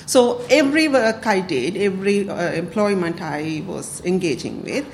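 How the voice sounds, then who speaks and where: A woman's voice: mid-range voice, lively intonation, moderate pace. Woman from Sri Lanka, public talk, hesitant with fillers, faint background hiss. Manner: hesitant, many fillers, warm laughs. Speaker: community council speaker.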